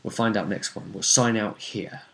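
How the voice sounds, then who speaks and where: A man's voice: fairly low pitch, steady tone, normal speed, a bit bright, a little hiss or hum. A man acting as game master and host, casually recapping a role-playing session in a storytelling way that teases suspense.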